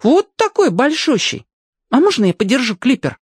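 Excitable high-voiced little boy. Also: normal speed, husky, dry recording, clean studio sound, full character voice. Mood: bright, curious. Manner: excited, chatty, childlike. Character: lively, eager young boy, trusting storyteller. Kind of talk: acted audiobook dialogue.

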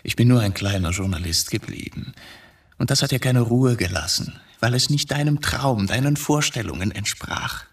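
An actor in a radio drama playing a brooding middle-aged journalist who talks evasively, philosophically and with bitterness. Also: male voice, medium pitch, animated, moderate pace, slightly rough. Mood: reflective. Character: brooding middle-aged journalist confessing to killing his wife.

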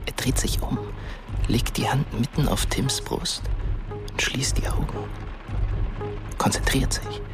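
An actor playing the ghost of a dead teenage boy, narrating a dark radio play in a hushed, measured way. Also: medium pitch, steady tone, lightly acted. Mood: brooding, intense. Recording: loud music under the voice.

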